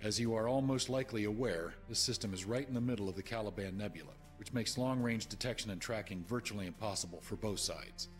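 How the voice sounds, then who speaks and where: Starship captain played by a man, space game: low, measured, dignified delivery over prominent music. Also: close to an everyday voice. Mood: formal briefing. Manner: measured, formal. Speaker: ship's captain. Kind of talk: solemn scripted speech and briefing. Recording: music behind the voice.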